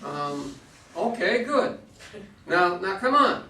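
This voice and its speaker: A man's voice: sits high, big pitch swings, slow pace, pushed and bright, a little hiss or hum, exaggerated voice. A man playing a flustered astronaut trying to manage a confused crewmate, in an amateur staged play reading. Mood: exasperated. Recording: echoey room, distant mic.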